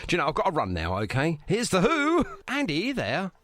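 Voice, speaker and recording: A man's voice: on the high side, wildly swooping pitch, fast, fairly bright tone, no echo. A man hosting a live radio show in a casual, jokey, rambling way.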